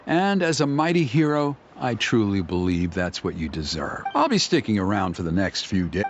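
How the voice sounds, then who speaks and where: Scheming elder, middle-aged, smooth and flattering, lively pitch, game music. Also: male voice, moderate pace. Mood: ingratiating. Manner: oily, ingratiating, lively intonation. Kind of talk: game voice acting. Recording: muffled game audio with music.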